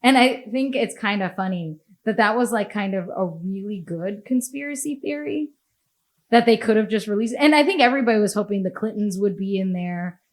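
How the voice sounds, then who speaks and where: A woman's voice: medium pitch, expressive, brisk. A woman with an American accent hosting a podcast, talking in a casual, opinionated way, blunt and sweary, laughing often. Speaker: podcast host.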